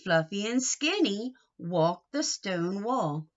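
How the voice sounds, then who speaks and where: A woman reading a picture book aloud to young children in an expressive, sing-song way, coaxing them with questions. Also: mid-range voice, slow, dull audio.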